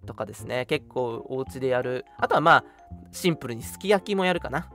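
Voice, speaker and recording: A young man co-hosting a radio show, chatting casually in an animated way and readily agreeing with his partner. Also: medium pitch, brisk, soft background music. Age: young adult. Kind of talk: casual chat.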